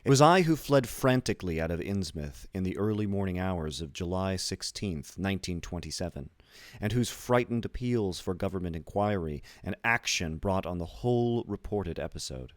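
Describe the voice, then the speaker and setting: A man with a deep, low voice narrates a literary horror story. His reading is measured and deliberate, and the sound is dry, with very little room around it. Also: lively intonation, dry recording. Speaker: audiobook reader.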